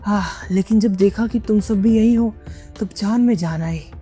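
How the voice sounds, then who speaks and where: A narrator voicing an excitable, chatty young male student in an audio drama, animated and wide-eyed. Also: high voice, moderate pace, faint music behind, voice pushed up, lightly acted. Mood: relieved.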